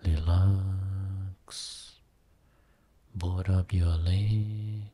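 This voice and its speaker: A man guiding a Buddhist meditation as a teacher, speaking soothingly in short phrases with long pauses between them. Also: sits low, fairly even intonation, warm tone. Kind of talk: guided meditation.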